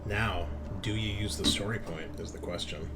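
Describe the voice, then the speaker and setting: A man's voice: deep voice, normal speed, noisy background. A man narrating a tabletop sci-fi horror game as game master, in a casual, sweary way.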